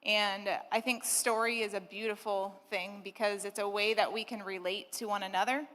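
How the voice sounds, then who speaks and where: A woman's voice: medium pitch, fairly even intonation, moderate pace, a bit bright, long reverb tail. A young woman hosting a church youth gathering, introducing speakers with a hesitant, um-filled delivery. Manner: hesitant, many "um"s. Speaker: youth ministry host.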